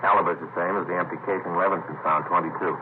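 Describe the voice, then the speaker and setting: An actor playing a calm, dutiful male detective in an old radio crime drama. His voice is low and his questioning brisk and businesslike. The audio is tinny and noisy. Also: close to an everyday voice. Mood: factual.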